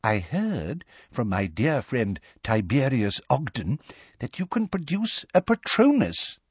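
A man's voice: mid-range voice, sing-song, slow, slightly rough, dry recording, lo-fi sound, heavily acted. A man voicing a kindly, encouraging old male examiner with a quavery voice in an audiobook. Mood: delighted, coaxing. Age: older.

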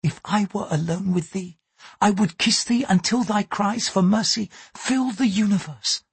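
A man's voice: sits high, measured pace, breathy edge, no room sound, voice pushed up, exaggerated voice. A man reading aloud, voicing a poised young Japanese noblewoman with a formal, archaic way of speaking. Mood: tender. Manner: formal, archaic thee-and-thou speech, apologetic "so sorry".

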